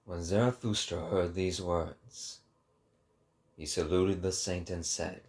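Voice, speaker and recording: Male narrator for dramatic literary reading, slow and measured scripted delivery. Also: low voice, steady tone, darkish tone.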